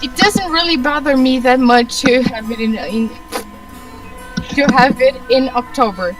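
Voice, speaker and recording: An assertive, argumentative woman from a rich family, acted by a woman reader. The voice is high and somewhat halting, a little far from the mic with soft music behind it. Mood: bickering. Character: assertive, bickering adult woman of a wealthy family.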